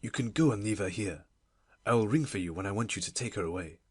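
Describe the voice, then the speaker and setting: A weary, middle-aged gentleman guardian for a storybook. The voice is dropped low and husky, with a fretful, absent-minded mutter. It sits in a dry, close sound. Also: animated, fast, no room sound, exaggerated voice. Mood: distracted, fretful.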